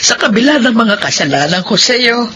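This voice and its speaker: An actress playing an emotional elderly grandmother in a Filipino radio drama, with drawn-out, sighing delivery and a Filipino accent. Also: a little high, sing-song, over music. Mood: remorseful. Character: elderly grandmothers, emotional in-laws quarrelling and reconciling.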